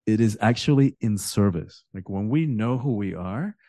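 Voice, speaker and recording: A man's voice: fairly low pitch, animated, moderate pace, dark tone, no room sound, studio quality. A man born in Cuba, an author talking as a podcast guest, earnest, often repeating words as he begins a thought. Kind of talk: casual interview talk.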